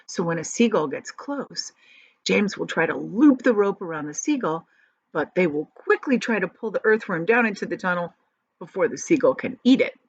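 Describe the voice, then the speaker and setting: A woman teacher reading a children's book aloud and pausing to explain it. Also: lowish voice, animated, breathy edge, no echo. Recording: dull, lo-fi audio.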